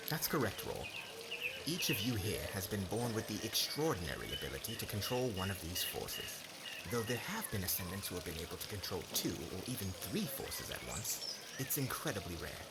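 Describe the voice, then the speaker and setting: A man's voice: on the low side, very animated, a little fast. Man playing a formal magic academy professor, noisy backdrop. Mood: formal, instructive. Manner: formal, instructive. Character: kindly male academy professor teaching young magic students. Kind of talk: ASMR roleplay acting.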